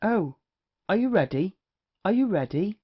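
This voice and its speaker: A performer playing a witty, lewd, cynical gentleman rake in an audio play of a period comedy. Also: mid-range voice, big pitch swings, unhurried, breathy edge. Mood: brisk. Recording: dull, lo-fi audio.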